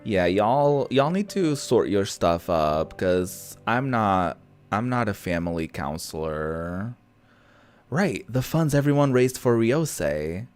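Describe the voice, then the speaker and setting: A streamer with an expressive, slangy way of talking, casually reacting to a visual novel and reading its narration aloud, with game music behind. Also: low voice, slow pace, clear, resonant tone. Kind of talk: casual gameplay commentary mixed with narrated reading.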